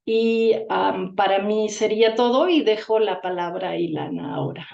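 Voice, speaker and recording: A woman's voice: mid-range voice, normal speed, vocal fry, dark tone. Woman researcher, fluent lecture delivery, echoey and muffled. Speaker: academic presenter. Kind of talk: conference presentation.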